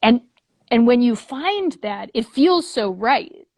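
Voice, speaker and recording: A woman's voice: on the high side, expressive, takes it slowly, darkish tone, no echo. Earnest woman in conversation, dry close sound. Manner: earnest, thinking aloud in long unfinished phrases. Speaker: guest, puppet theater leader. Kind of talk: casual interview conversation.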